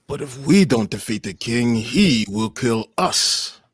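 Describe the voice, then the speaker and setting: A grave adventurer read by a man with a husky, dry-sounding voice. He speaks slowly and warily, like a plotter, in a comedic table read. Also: medium pitch, animated, no echo. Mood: grave, wary. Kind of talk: comedic live table read of a fan script.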